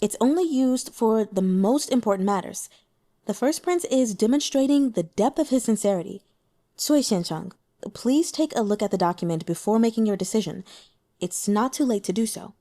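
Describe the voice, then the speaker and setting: Audiobook diplomat, female voiced by a woman narrator; polite, persuasive, earnest, softly mellow. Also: on the high side, animated, moderate pace. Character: earnest, courteous woman diplomat pleading a case.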